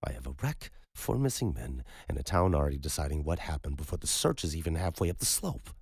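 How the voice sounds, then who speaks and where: A man voicing a skeptical investigator who answers in a measured, firm way. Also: rumbling low, big pitch swings, gravelly, husky, no echo, clean studio sound, exaggerated voice.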